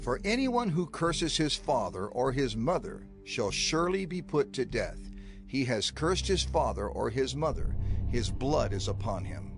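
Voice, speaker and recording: A man's voice: medium pitch, sing-song, unhurried, gravelly. A man gives a solemn, emphatic, declamatory reading in a dramatized scripture narration. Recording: music under the voice. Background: music.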